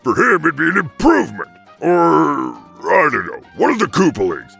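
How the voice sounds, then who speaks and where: A man voicing a gruff, boastful turtle-monster villain father in a fan cartoon, with a growling, theatrical delivery. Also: fairly high pitch, sing-song, moderate pace, fairly bright tone, distant mic, soft background music, exaggerated voice. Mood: sarcastic, grudging. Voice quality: gravelly, growling. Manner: blustering, theatrical. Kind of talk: cartoon voice acting for fan animated series.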